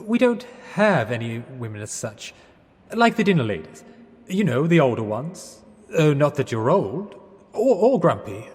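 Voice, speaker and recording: A bumbling, well-meaning English fellow in a radio drama. His voice is on the high side and swings widely in pitch, a little husky. He hesitates and corrects himself politely, and the space around him rings with reverb. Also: sing-song, measured pace, a little mellow, heavily acted. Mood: flustered. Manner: hesitant, flustered, polite, backtracking. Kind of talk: 1920s-set radio drama acting.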